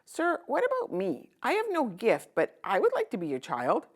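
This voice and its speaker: Woman voicing an earnest little orphan girl, lively pitch swoops. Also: normal speed. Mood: earnest, wondering. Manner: earnest, eager, timid when asking. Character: gentle, eager orphan girl with a big heart. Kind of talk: children's storybook read-aloud.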